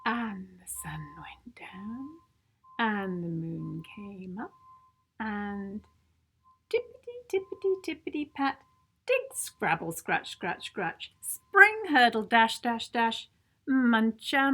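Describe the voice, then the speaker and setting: Slow, high-voiced woman reading a storybook. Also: big pitch swings, bright, forceful tone. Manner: theatrical, playful with repeated sound words and stressed adjectives. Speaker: children's book reader. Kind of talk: expressive storybook read-aloud for young children.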